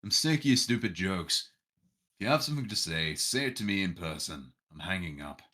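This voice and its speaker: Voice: deep voice, lively intonation, fairly quick, sharp tone. A streamer reading a visual novel's narration aloud in a dramatic way.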